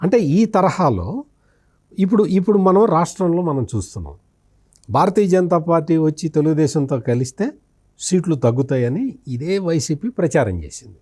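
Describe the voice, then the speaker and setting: Man, journalist's analysis, mellow tone, highly varied pitch, emphatic, repeats phrases for effect. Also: expressive, moderate pace. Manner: explanatory, emphatic, poses and answers own questions, repeats phrases. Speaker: journalist, political commentator. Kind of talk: spoken political analysis in an interview.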